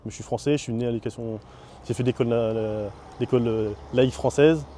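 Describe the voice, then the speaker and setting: A man's voice: medium pitch, steady tone, fast talker, warm tone, a little hiss or hum. A young French man giving a casual, hesitant interview testimony, full of fillers and restarts. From France.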